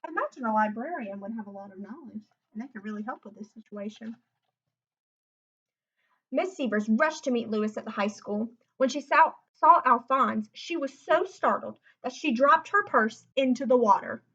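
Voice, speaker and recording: A woman's voice: fairly high pitch, animated, moderate pace, fairly bright tone, breathy edge, muffled recording. A woman reading a children's picture book aloud expressively, breaking off for chatty asides to her listeners.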